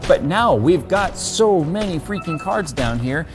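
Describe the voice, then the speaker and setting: A man's voice: on the high side, animated, a little slow, over music. A man talking casually and candidly to his viewers as a tech YouTuber, a bit exasperated.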